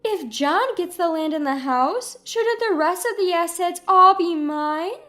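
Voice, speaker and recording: A woman voicing a spiteful, self-centered older mother-in-law in a narrated family story, with a shrill, indignant manner. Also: expressive, unhurried, pushed and bright, soft background music, full character voice.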